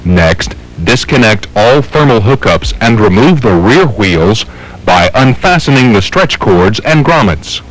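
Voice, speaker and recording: A man's voice: fairly low pitch, sing-song, unhurried, low-quality recording. A man with an American accent reading scripted instructional narration in a formal, methodical, step-by-step way. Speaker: training film narrator.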